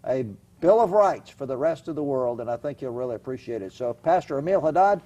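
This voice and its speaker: A man's voice: mid-range voice, animated, fairly quick, mellow, muffled recording. A man hosting a television talk show, introducing and interviewing a guest in a chatty, emphatic way. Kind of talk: studio interview. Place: television studio.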